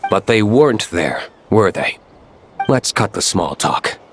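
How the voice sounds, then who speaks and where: Reserved nobleman in a game, low and curt, faint background hiss. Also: very animated, unhurried. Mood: cool. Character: cool aloof male nobleman, winery owner. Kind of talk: game cutscene voice acting.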